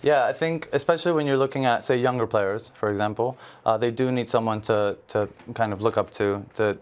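Smooth-voiced young man explaining on a TV panel. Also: mid-range voice, brisk. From Israel. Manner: earnest, filler-heavy explaining. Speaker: football agent, studio guest. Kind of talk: studio panel discussion. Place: large TV studio.

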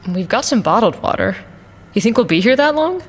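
A woman's voice: on the high side, sing-song, a little fast, a little hiss or hum. A woman playing a practical, wry mercenary in an audio drama, with an exasperated way of talking. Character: practical, wry female mercenary, the team's interpreter.

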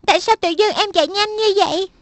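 Woman voicing a very high, whiny little boy. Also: fast, over loud music. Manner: whiny, soft. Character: small weak little boy, sulky and trusting. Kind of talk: cartoon dub.

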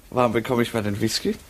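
Man playing sardonic prisoner, mid-pitched voice, terse and defiant, radio drama. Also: a little hiss or hum, natural voice. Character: defiant, sardonic male prisoner who denies his identity.

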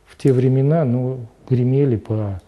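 A man's voice: mid-range voice, moderate pace, warm tone. An older man reminiscing in an interview, speaking thoughtfully with some hesitations, on a lapel mic in a studio. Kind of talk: interview recollection. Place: studio set indoors.

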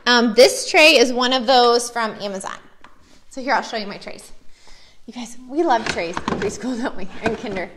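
A woman's voice: fairly high pitch, moderate pace, background noise. An American woman, a preschool teacher, chatting enthusiastically and casually as she shows classroom activities.

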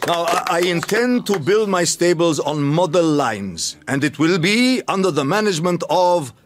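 Actor as a proud racehorse owner, swooping delivery. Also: male voice, on the high side, animated, natural voice. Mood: proud, elated. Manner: declamatory, deliberate, with emphatic pauses. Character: proud, elated racehorse owner making a speech. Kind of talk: radio drama speech. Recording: clearly noisy recording.

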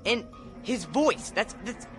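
Very high-pitched, desperate young man. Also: animated, a bit bright, soft background music, full character voice. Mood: anguished, urgent. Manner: urgent, halting, pleading. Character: desperate young alien man, earnest and pleading. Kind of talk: radio drama acting.

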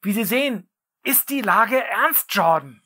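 A woman's voice: mid-range voice, lively intonation, measured pace, sharp tone, husky, exaggerated voice. A synthetic female text-to-speech voice playing a scheming male businessman who gives curt, matter-of-fact orders in a radio play. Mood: commanding.

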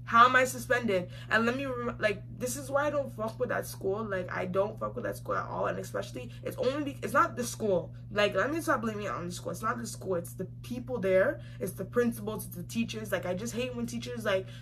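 A young woman tells a casual story to camera in a small room. She is emphatic and slangy, hammering phrases by repeating them. Her voice sits at a middle pitch, over faint recording noise. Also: animated, brisk, few pauses, bright, forceful tone. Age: young adult. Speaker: vlogger. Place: small room indoors, seated facing camera. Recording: clearly noisy home recording.

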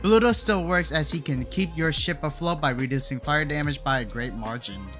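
A man's voice: fairly high pitch, normal speed, a bit bright. A man narrating a scripted game showcase as a video creator. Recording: music under the voice. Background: background music.

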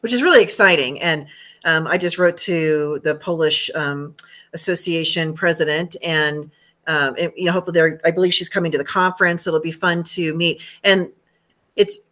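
A woman's voice: sits low, moderate pace, fairly bright tone. A middle-aged American woman hosting a video call, chatting casually in a rambling way. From United States. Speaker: host, home staging trainer. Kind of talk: casual chat. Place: indoor room at home.

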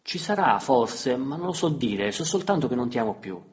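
A man voicing a cold, impatient young woman who curtly rejects her husband, raised above his own low, husky voice. Her lines are short and dismissive. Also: a little fast, a little mellow, close to an everyday voice. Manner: curt and dismissive. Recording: slightly dull lo-fi recording.

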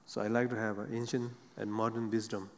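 A middle-aged man, a Buddhist monk on a stage panel, speaking halting non-native English with frequent pauses and fillers. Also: on the low side, level tone, a little hiss or hum. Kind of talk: unscripted panel talk. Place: seated on a large theater stage. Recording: long hall reverb.

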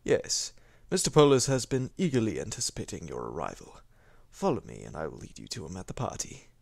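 A man's voice: low-pitched, very animated, fairly quick, vocal fry, a little mellow, husky, dry recording, clean studio sound, full character voice. A man voicing a polite, proud male servant being in a fan audio drama, speaking in formal, courteous phrases.

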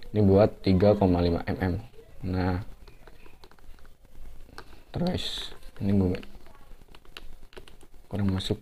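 A young man talks viewers through a setup in a relaxed, slangy way, with plenty of fillers. His voice sits a little low. He is recorded on a camera mic in a living room. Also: slow, long pauses, slight background noise. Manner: slangy, chatty, full of fillers. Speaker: motovlogger. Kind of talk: casual hands-on tutorial. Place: living room at home, indoors.

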